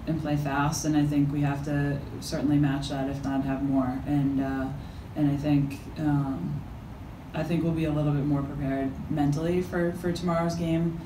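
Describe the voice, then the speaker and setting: A middle-aged woman coach speaks haltingly, restarting her sentences and filling pauses. She sits at a table mic some distance away in an echoey room. A steady noise hum sits beneath her. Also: low voice. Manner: halting, many fillers and restarts. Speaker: head coach. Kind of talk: postgame press conference answers. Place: press conference room with backdrop. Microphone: table mic from a few feet.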